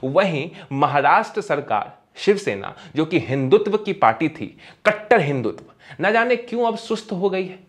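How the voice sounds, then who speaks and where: Higher-voiced man, emphatic scripted commentary. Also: sing-song, normal speed. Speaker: commentator and narrator. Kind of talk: scripted opinion narration.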